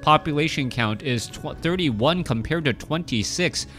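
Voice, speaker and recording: A man's voice: a little high, expressive, measured pace, fairly bright tone, faint music behind. A man in his thirties casting a strategy game match, talking casually with many fillers and restarts. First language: Cantonese. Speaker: game caster. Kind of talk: casual play-by-play commentary.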